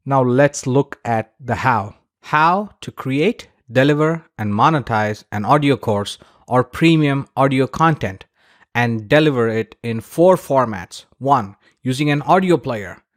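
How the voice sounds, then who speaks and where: A man's voice: medium pitch, expressive, unhurried. Man narrating an instructional explainer. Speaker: online course instructor and podcaster.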